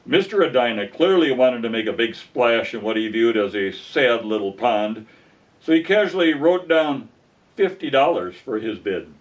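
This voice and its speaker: A man's voice: medium pitch, animated, moderate pace. A man narrating a comic story aloud in a wry, folksy manner. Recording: dull lo-fi sound, distant from mic.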